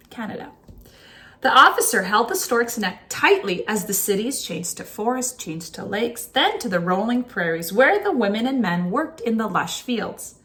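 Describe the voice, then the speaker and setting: Animated woman reading a picture book. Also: medium pitch. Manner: animated, dramatic storytelling. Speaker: teacher reading a story aloud. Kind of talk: expressive children's picture book read-aloud.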